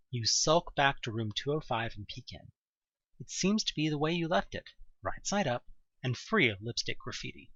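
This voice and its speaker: A man narrates a horror audio play with lively swings in pitch and a bright, forceful, slightly rough voice. His delivery is dramatic and expressive, with a wry edge. The sound is dry and a little dull, like a modest home recording. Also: no room sound. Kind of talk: dramatic scripted second-person horror narration.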